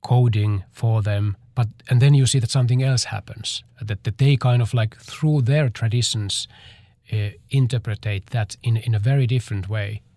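A man, a choreographer answering interview questions in a halting way as he searches for words. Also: mid-range voice, mellow, no echo. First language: Finnish.

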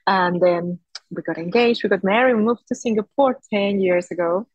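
A woman's voice: mid-range voice, moderate pace, no room sound, highs cut off. A woman in her thirties from Peru, a native Spanish speaker, telling her story conversationally as a podcast guest. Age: 30s. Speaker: podcast guest, Pilates studio founder.

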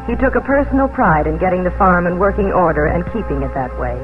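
A woman's voice: on the low side, lively intonation, quick pace, phone-quality sound, natural voice. Proper colonial farm mistress, played by a woman, vintage radio. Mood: reflective narration. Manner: proper, refined, earnest. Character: young, proud but kindly colonial farm mistress. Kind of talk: 1950s radio drama acting and narration. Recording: band-limited old radio broadcast with music.

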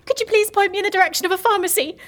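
Very high voiced woman, morbid, guilt-ridden, fretful, melodramatic, audio drama. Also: rapid-fire, a little hiss or hum. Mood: despairing. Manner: anxious, apologetic, self-pitying, melodramatic. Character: morbid, guilt-ridden, fretful young woman convinced she causes death.

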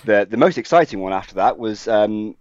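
A man's voice: lowish voice, animated, fairly quick, a little mellow, no room sound, highs cut off. A man from Wales co-hosting a podcast, telling stories in a rambling, self-deprecating way with lots of ums. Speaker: podcast co-host. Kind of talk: casual podcast anecdote.

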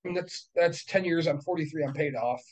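A man in his thirties with a slightly high voice talks through rental math in a casual chat. He is blunt and profane and reckons the numbers out loud as he goes. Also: brisk, low-quality recording. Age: 30s. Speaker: real estate investor.

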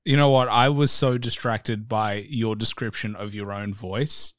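A man hosting a podcast interview, musing and thinking aloud in a relaxed, rambling way. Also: on the low side, measured pace, no room sound, clean studio sound. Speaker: podcast host. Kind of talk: casual interview conversation.

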